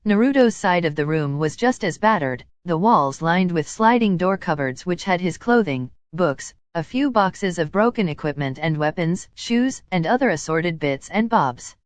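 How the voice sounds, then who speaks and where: A woman's voice: on the low side, lively intonation, moderate pace, few pauses, dry recording, clean studio sound. A woman narrating a written story aloud, reading both description and dialogue.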